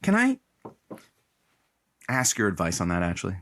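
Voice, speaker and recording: An actor playing a petty, mocking radio producer and announcer in a comedic audio drama, with halting patter and theatrical outbursts. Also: male voice, deep voice, wildly swooping pitch, fairly bright tone, exaggerated voice. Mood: feigned innocence.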